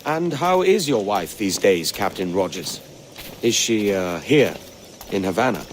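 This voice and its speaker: A man's voice: fairly low pitch, lively intonation, measured pace, slight background noise. An actor playing a wry, roguish young male pirate in disguise, with a dry, teasing, casual delivery. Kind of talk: video game cutscene acting.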